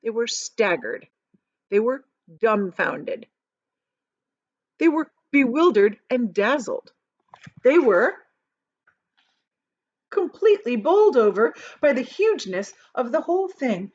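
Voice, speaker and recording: Woman, storybook read-aloud, very animated intonation, teacherly explanations, emphatic descriptive words, dry slightly dull recording. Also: fairly high pitch, slow, long pauses, dry recording. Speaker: teacher reading aloud to children. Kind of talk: expressive storybook read-aloud with casual asides.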